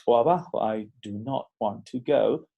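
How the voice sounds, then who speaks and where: A man's voice: medium pitch, measured pace, vocal fry, husky, muffled recording. A man giving a recorded lesson, explaining and demonstrating English pronunciation examples. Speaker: teacher. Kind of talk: recorded lecture.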